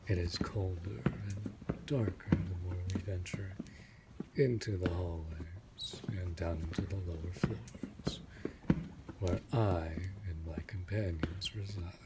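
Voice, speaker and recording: A man playing a courteous, possessive vampire master in an audio roleplay, speaking formally and deliberately with a breathy voice that often sinks toward a whisper. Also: low-pitched, steady tone. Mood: composed, courteous. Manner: formal, measured, deliberate speech. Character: centuries-old male vampire master, courteous, possessive, protective manor lord. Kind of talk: immersive audio roleplay addressed to the listener. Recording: loud background noise.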